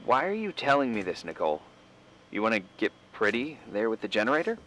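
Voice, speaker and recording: A man's voice: fairly low pitch, sing-song, brisk, bright, forceful tone, a little hiss or hum, rough recording. An actor playing a casual, wry and mildly skeptical man in naturalistic game dialogue, offhand and hesitant, a little evasive. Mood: puzzled, teasing.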